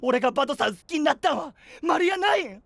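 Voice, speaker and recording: A man's voice: very high voice, expressive, fast talker, vocal fry, a bit bright, dry recording, heavily acted. A man playing an earnest, encouraging young knight in a voice drama, heartfelt and pleading, with an Oita dialect from western Japan. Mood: urgent, pleading. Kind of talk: anime-style voice drama acting.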